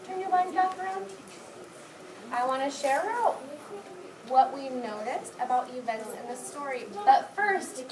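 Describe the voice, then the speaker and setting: A woman teaching young children in a classroom, giving short, directive instructions and praise. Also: sits high. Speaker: elementary school teacher. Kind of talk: classroom read-aloud lesson addressed to young children. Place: indoor classroom. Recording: roomy, distant classroom recording with clear background noise.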